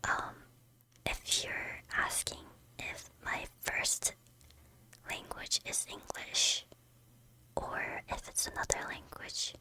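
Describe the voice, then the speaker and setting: A woman whose first language is Korean, streaming in soft unvoiced whispers, chatting hesitantly with many ums on a close microphone. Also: slow, low-quality recording. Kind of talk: whispered ASMR chat with chat readers.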